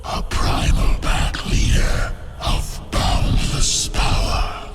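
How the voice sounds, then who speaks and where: A man playing an ancient, solemn alien pack leader in a video game, with a gravelly growl and grand, portentous delivery. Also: drawn-out words. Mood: solemn, ominous. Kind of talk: video game cutscene acting. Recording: loud music behind the voice.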